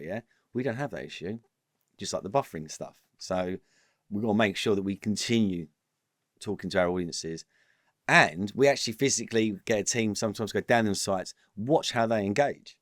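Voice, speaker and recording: A man, a company executive guest on a podcast, talking in an animated, rambling way with frequent restarts. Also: fairly low pitch, no echo, studio quality. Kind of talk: casual business interview.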